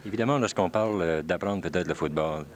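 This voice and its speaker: A man's voice: deep voice, quick pace. A man with a Canadian French accent interviewing a guest on the radio, asking questions with frequent hesitations. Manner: hesitant, many fillers. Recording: 1979 radio archive.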